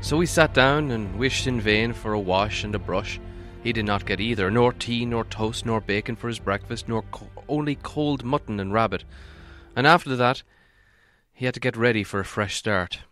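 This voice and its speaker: A man narrating an audiobook in a measured storytelling way, softening on descriptive passages. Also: fairly low pitch, faint music behind. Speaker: audiobook reader.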